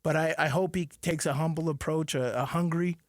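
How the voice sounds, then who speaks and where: Emphatic male co-host, slightly high voice. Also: steady tone, fairly quick, no room sound, clean studio sound. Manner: emphatic, keeps checking with tag questions, restarts words. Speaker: fill-in sports radio co-host. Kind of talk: sports radio talk. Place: radio studio. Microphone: studio microphone.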